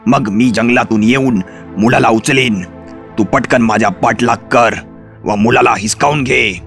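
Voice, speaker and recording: A man voicing a scheming wolf in a children's animated fairy tale, speaking slowly and deliberately. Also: medium pitch, soft background music. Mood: plotting, reassuring. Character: scheming male wolf, friend turned vengeful.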